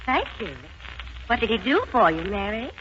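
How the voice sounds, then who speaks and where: A woman's voice: on the high side, very animated, moderate pace, fairly bright tone. An actress playing a teasing, well-bred young society woman in 1940s radio drama style. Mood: arch, teasing. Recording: very noisy, dull 1940s radio recording.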